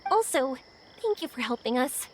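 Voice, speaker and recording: A woman voicing a spirited, adventurous and warm young woman in a video game, expressive and enthusiastic. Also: high voice, normal speed, slight background noise. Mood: cheerful, warm.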